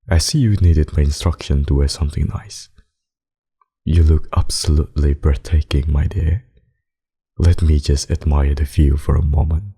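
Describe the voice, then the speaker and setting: Man acting a very deep, gravelly suave vampire. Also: mellow, exaggerated voice. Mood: smooth admiring. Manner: formal, courtly, teasing, seductive endearments. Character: suave, possessive, menacing male vampire, darkly courting his captive. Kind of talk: ASMR roleplay, dramatic monologue.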